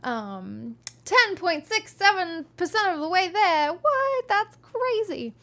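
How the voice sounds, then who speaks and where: A woman's voice: very high voice, big pitch swings, takes it slowly, pushed and bright. Chatty woman reading a book on stream. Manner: chatty and friendly, frequent ums and restarts. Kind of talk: live-streamed audiobook reading and chat.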